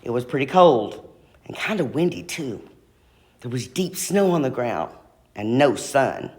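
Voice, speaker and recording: A woman reading a children's storybook aloud in an expressive way, slowing down for emphasis. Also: very deep voice. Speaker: school staff reader.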